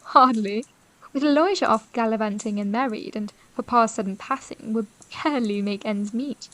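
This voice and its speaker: An actress playing a wistful, overlooked young sister in a period audio drama, with polite, formal and expressive speech. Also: female voice, fairly high pitch, moderate pace, natural voice, rough recording. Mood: resigned, bitter. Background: music.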